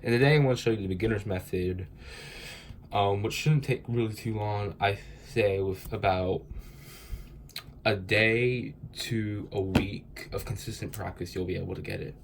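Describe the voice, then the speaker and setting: A man's voice: fairly low pitch, fairly even intonation, moderate pace, vocal fry, a little hiss or hum. Stuffy-nosed young man teaching a puzzle. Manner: loose, rambling explanation with fillers. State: stuffy from a cold. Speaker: cubing tutorial host. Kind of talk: casual tutorial explanation.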